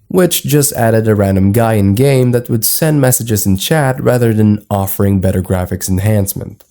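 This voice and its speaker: A man's voice: medium pitch, gravelly, mellow. A man reading scripted narration for an explainer video in a formal, documentary-like manner.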